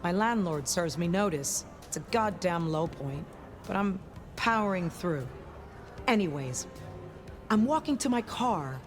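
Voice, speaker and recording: A tough, cocky vampire woman for a video game. Her voice sits a little low and she talks in a brash, streetwise way. Music plays clearly behind her. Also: expressive, unhurried. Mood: wry storytelling. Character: tough, cocky female vampire enforcer with shadow powers.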